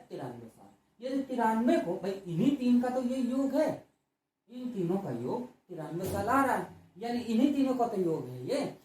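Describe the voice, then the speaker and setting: A man's voice: high-pitched, darkish tone. A man teaching a math lesson, drilling students by repeating each question and answering it himself. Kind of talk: classroom math lecture. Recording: very echoey room, mic far off.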